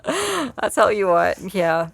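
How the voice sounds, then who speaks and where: A woman's voice: mid-range voice, sing-song, takes it slowly, gravelly, slight background noise. A middle-aged woman co-hosting a casual podcast, talking in a loose, giggly way. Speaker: podcast co-host.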